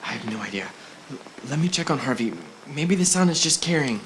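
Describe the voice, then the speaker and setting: A man's voice: on the high side, expressive, brisk, lightly acted. A man playing a worried but supportive husband in an amateur audio drama, hesitant and reassuring. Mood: alarmed. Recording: clearly noisy amateur recording.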